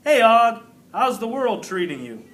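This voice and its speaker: A man's voice: very high-pitched, sing-song, unhurried, fairly bright tone, voice pushed up, full character voice. A man voicing a good-natured, somewhat grand male night janitor in a children's storybook. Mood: jovial, grand. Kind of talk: storybook character reading.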